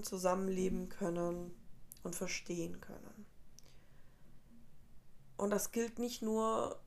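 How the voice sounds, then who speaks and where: A woman in her late twenties, originally from Colombia, speaking in a soft, rambling and tired way as a podcast host, often correcting herself mid-sentence. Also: medium pitch, vocal fry. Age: 20s. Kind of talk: casual personal monologue.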